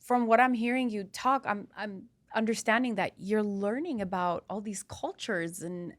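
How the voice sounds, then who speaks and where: A woman's voice: medium pitch, moderate pace. A woman hosting a podcast interview, chatting casually and encouragingly, often restarting her sentences. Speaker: podcast host.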